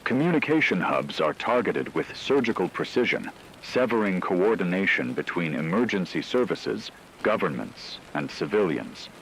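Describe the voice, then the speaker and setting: Man acting as grave radio news anchor, low voice, formal deliberate delivery, scripted disaster drama, rain-like background noise. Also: sing-song, creaky, fairly bright tone, heavily acted, poor audio.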